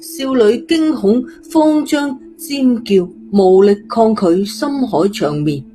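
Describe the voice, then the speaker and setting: Cantonese lady telling a dramatic story, dark mellow voice, soft music behind. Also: a little high, expressive, slow. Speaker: audiobook narrator. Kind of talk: dramatic scripted story narration.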